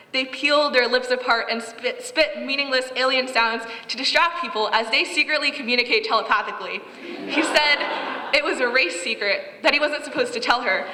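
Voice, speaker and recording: Teen girl reading her own story out loud, bright voice, reflective and a bit wry, big echoey hall. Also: on the high side. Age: teens. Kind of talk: reading her own prose aloud at a live reading. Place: event hall. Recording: echoey hall with long reverb.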